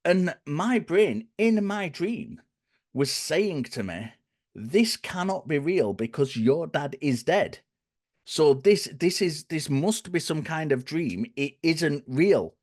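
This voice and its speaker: A man with a croaky, dry voice, explaining his reasoning in a thoughtful way and often restarting his phrases. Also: fairly high pitch, lively intonation, takes it slowly, a little mellow, dry recording. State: hoarse, croaky. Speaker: guest speaker. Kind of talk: conversational explanation.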